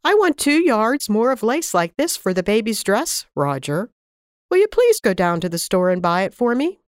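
A woman voicing a kind, busy young mother in a children's storybook, speaking gently and fondly. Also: medium pitch, sing-song, normal speed, no room sound, clean studio sound, natural voice. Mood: gentle.

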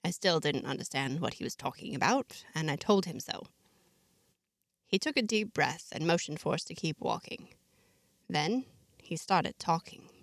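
A woman narrating a first-person story in a measured, reflective, diary-like way, ending with a scripted sign-off. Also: lowish voice, dry recording, studio quality.

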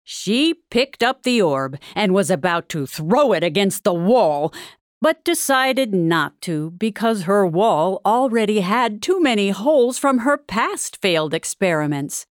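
A woman narrating a storybook tale from a script in a dramatic, deliberate manner. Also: mid-range voice, very animated, dry recording, clean studio sound.

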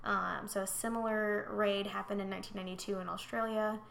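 A young woman co-hosts a casual true-crime podcast and speaks bluntly, throwing in swears and quick jokes. Her voice carries a gravelly fry. A faint hum sits under the recording. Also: medium pitch, flat delivery, fairly bright tone. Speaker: podcast co-host.

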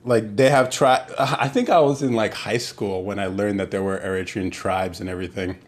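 A man's voice: on the low side, steady tone, moderate pace. A young man hosting a casual podcast, joking and laughing often. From United States. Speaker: podcast host.

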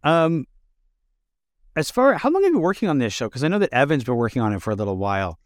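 A man hosting a casual interview, speaking haltingly and repeating words as he forms his thoughts. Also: medium pitch, sing-song, no echo, studio quality. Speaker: interviewer, host.

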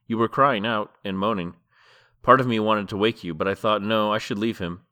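A man voicing a quiet, mysterious young woman who speaks softly and gently, in an audiobook-style reading. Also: lowish voice, fairly even intonation, moderate pace. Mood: gentle. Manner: soft and gentle.

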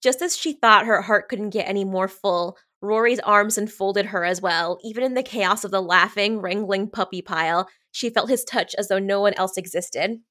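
A woman co-hosting a casual book podcast, reading highlighted passages with enthusiasm. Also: normal speed, few pauses, bright, forceful tone. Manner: enthusiastic, gushing. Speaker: podcast co-host.

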